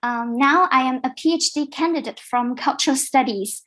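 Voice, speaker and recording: A woman's voice: sits high, moderate pace, fairly bright tone. A woman from China, a doctoral candidate, giving a short hesitant introduction on a video call. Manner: brief, hesitant. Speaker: PhD candidate panelist.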